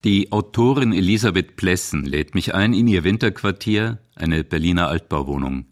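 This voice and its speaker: A man's voice: low-pitched, animated, normal speed. A man narrating a radio feature from a script.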